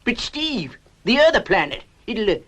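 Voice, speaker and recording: Excitable old space professor, high and animated, stammering and hesitant, for a retro puppet adventure series. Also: male voice, moderate pace, very noisy. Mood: anxious, excitable. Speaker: voice actor. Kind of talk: 1960s children's sci-fi puppet series acting.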